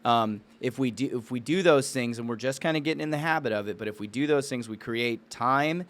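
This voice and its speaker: A man's voice: medium pitch, a little fast, a bit bright. Guy on a podcast, chatty and informal, kinda bro-ish storyteller, normal mid voice. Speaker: podcast co-host and business owner. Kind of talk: casual conversational podcast talk.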